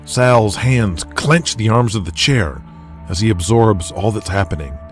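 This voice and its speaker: Low-pitched male drama narrator. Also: lively intonation, a little slow, faint music behind.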